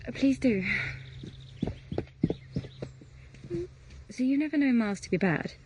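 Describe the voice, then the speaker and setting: An actress playing a young governess in a gothic period audio drama, earnest and anxious, with a breathy, confiding way of speaking that often drops toward a whisper. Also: female voice, sits high, expressive, fast, background noise. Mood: probing. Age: young adult. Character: young governess, earnest, anxious, dreamily enraptured by her charges.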